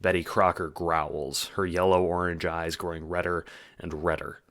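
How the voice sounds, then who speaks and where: Deep-voiced man narrating with a bright edge. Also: little pitch movement, normal speed. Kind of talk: dramatic scripted audiobook narration.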